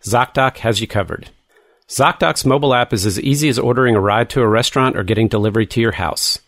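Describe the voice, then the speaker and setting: A man's voice: medium pitch, fast talker, a bit bright, no room sound, studio quality. A man reading a scripted, first-person podcast advertisement as a host.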